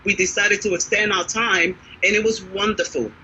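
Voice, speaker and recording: An enthusiastic woman financial advisor speaks over a video call. Her voice is bright and forceful, and her delivery is persuasive and upbeat. A faint background hum sits under her. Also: medium pitch, fairly even intonation, moderate pace, highs cut off. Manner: upbeat and persuasive, peppered with "right?". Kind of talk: enthusiastic casual pitch on a live video chat.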